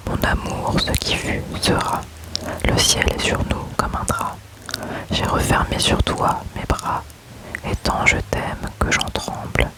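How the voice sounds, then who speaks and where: A man softly whispering love poems aloud in a close-up ASMR reading, unhurried and gentle. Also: low-quality recording. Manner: slow, soft unvoiced whisper throughout. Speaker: ASMR creator.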